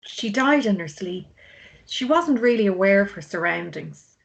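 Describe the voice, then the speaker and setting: A woman acting a slow-speaking, consoling sister. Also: medium pitch, animated, gravelly, poor audio. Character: calm, consoling woman at brother's graveside. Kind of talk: table read acting.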